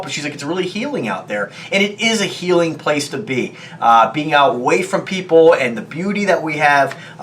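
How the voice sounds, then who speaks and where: A man's voice: on the high side, fairly bright tone, slight background noise. A middle-aged man reviewing a product in a casual, rambling vlog, upbeat and chatty, with lots of fillers.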